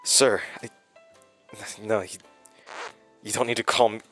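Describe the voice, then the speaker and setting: A tormented, forgetful man in a horror audio drama. He talks very slowly, halting and stammering under strain. The lowish voice has a forward, bright edge. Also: steady tone, soft background music. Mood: agitated, confused. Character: confused, tormented, forgetful man of frail, growing power.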